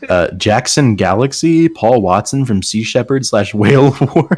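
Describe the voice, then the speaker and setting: A man's voice: medium pitch, expressive, a little slow, a little mellow, no room sound. A man reading aloud and riffing on it casually with friends, in a joking, sarcastic way. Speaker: host reading and mocking fan fiction. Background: faint background music.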